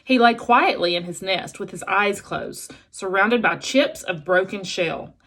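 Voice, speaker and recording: A woman teacher reading a children's storybook aloud in an expressive narrating style. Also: medium pitch, unhurried.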